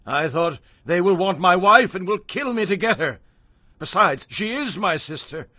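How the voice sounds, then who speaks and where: A man's voice: high-pitched, expressive, normal speed, pushed and bright, no room sound, clean studio sound, voice pushed up, full character voice. A man playing a self-justifying old patriarch explaining himself in a dramatized audio Bible. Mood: defensive, explaining.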